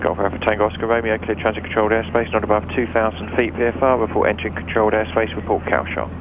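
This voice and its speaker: A man's voice: on the low side, little pitch movement, fast talker. A man working as an air traffic controller, giving clipped procedural instructions over aviation radio. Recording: muffled lo-fi radio with background noise.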